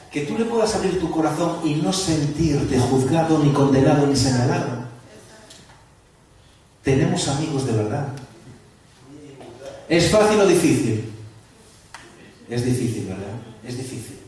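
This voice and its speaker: Animated pastor preaching in an echoey hall. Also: male voice, on the high side, unhurried, long pauses, far from the mic. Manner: lively, asks the audience questions and jokes. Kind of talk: sermon to a church gathering.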